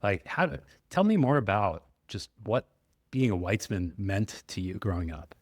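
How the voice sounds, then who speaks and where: A man's voice: on the low side, very animated, moderate pace, dry recording, clean studio sound. A man co-hosting a podcast, enthusiastic and rambling, with many likes and ums. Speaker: podcast co-host. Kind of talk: casual podcast chat.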